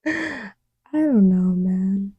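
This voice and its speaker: A woman playing a playful, distracted young girlfriend in an intimate ASMR roleplay, murmuring in a halting, teasing way. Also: medium pitch, animated, mellow, slightly rough, no echo, lo-fi sound, heavily acted. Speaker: audio roleplay voice actor.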